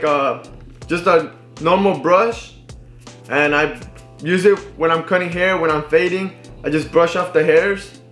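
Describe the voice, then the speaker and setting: Young man explaining in an echoey room. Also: high-pitched. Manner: relaxed, filler-heavy, restarts often. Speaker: barber. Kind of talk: casual tutorial. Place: indoors, living room with TV and couch.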